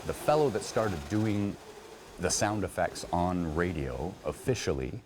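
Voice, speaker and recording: Male performer, deep voice, casual interview talk with slight background noise. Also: expressive, unhurried. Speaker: radio theatre performer and sound effects maker.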